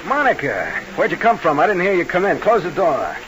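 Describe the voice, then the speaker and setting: A nervy, devoted crooked club owner in an old radio thriller. His slangy, tough talk swings widely in pitch over clear vintage hiss. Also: wildly swooping pitch, brisk. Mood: excitable. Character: nervy, devoted male nightclub boss with criminal schemes. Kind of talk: 1940s radio crime drama acting. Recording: hissy old radio recording.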